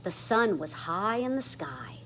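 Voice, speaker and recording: A woman's voice: medium pitch, lively intonation, measured pace, fairly bright tone, a little hiss or hum, poor audio. A woman reading a children's story aloud expressively, with dramatic emphasis on the sound words. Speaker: storybook reader. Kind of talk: animated children's read-aloud.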